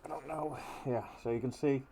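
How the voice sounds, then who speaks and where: A soft-spoken man, a gear reviewer, rambling casually with many pauses and ums while talking through a gas mask that makes his voice sound muffled and nasal. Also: medium pitch, fairly even intonation, background noise. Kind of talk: casual unboxing talk.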